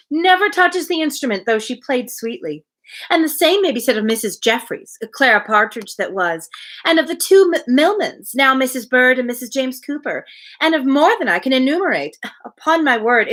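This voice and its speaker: A woman puts on a self-important young married lady from a storybook. She gushes and chatters in a high, swooping voice, leaning hard on her words with overfamiliar delight. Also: sing-song, fairly quick, fairly bright tone, heavily acted. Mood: affectedly dramatic. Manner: effusive, emphatic, chattering. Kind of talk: dramatized novel reading.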